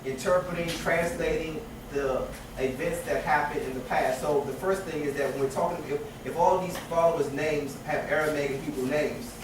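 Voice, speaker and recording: A man's voice: a little high, steady tone, moderate pace, few pauses. A man teaching a class, lecturing with many restarts and rhetorical questions. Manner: repeats and restarts phrases, asks rhetorical questions. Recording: echoey room with noise from far off.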